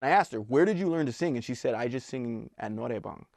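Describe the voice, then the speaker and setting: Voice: medium pitch, animated, fast, darkish tone. A music company talent recruiter telling anecdotes in an interview.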